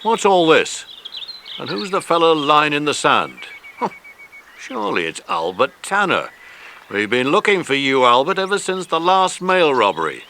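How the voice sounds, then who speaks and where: An actor playing a genial, authoritative police inspector in a children's audio drama. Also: male voice, a little high, sing-song, moderate pace, pushed and bright, heavily acted. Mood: surprised, jovial. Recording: faintly noisy cassette transfer.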